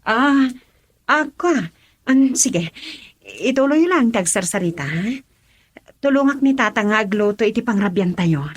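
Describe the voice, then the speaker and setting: An actress playing a middle-aged mother, emotional and husky, with an Ilocano Filipino accent in a radio drama. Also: a little high, lively intonation, measured pace, pauses often. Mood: gracious.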